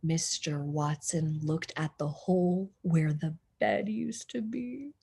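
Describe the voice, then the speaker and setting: A woman teacher reading a children's storybook aloud in an expressive narrating style. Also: low-pitched, slow pace, creaky, slightly rough.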